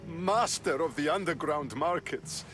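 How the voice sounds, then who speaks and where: Ominous, high-pitched Greek worshipper. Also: male voice, measured pace, fairly bright tone. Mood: ominous, hushed awe. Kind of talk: video game cutscene acting. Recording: music behind the dialogue.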